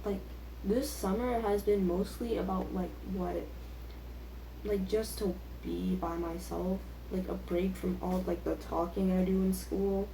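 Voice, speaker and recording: Teen female vlogger, soft-edged relaxed tone, self-deprecating off-the-cuff chatter, recorded in a reverberant room. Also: medium pitch, a little slow, vocal fry. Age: teenager. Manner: self-deprecating, filler-heavy youthful talk. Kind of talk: casual rambling chat. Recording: echoey room with faint background noise.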